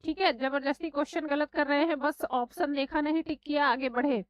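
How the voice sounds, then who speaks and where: A woman teaching a live online exam class, slightly hoarse from a sore throat, scolding her students and calling them beta and bhai. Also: sits high, steady tone, a little fast, no echo. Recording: dry, slightly dull online class audio.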